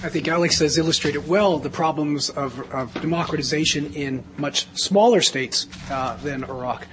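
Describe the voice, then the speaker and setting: A man's voice: on the high side, moderate pace, noisy background. A man moderating a panel, briefly thanking one speaker and formally introducing the next.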